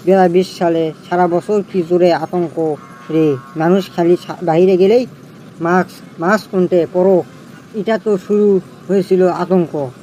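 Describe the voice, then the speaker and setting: Comedy cartoon male actor as jittery young village lad, high voice, excitable colloquial griping, northern Bangladeshi accent. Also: measured pace, a little hiss or hum, rough recording. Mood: animated, worried. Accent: northern Bangladeshi regional. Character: anxious, panicky young village man scared of snakes. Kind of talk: comic cartoon dialogue.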